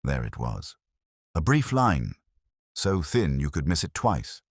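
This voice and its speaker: A man playing a brooding, observant detective inspector, narrating a mystery story in a measured, reflective, literary way with deliberate pauses. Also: rumbling low, very animated, slightly rough, dry recording, studio quality, exaggerated voice. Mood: grave, reflective. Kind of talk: scripted first-person detective story narration.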